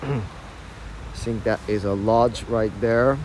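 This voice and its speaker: A man's voice: lowish voice, steady tone, slow, a little mellow, a little hiss or hum, low-quality recording. A man in his thirties with an American accent, casually guiding a live-streamed walking tour outdoors, with lots of fillers. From Ohio, United States. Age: 30s. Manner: casual, chatty, many fillers. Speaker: tour guide. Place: outdoors on an old city street. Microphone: phone camera held while walking.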